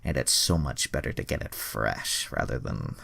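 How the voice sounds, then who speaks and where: A man voicing a gloating, lewd incubus demon in a deep, gravelly voice with slow, drawn-out menace. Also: sing-song, sharp tone, full character voice.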